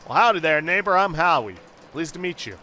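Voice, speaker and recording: A man's voice: on the high side, animated, a little fast, sharp tone, slight background noise, voice pushed up. A man voicing a cheerful, joshing hobo from a video game, with folksy, jovial phrasing. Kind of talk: read-aloud game character dialogue.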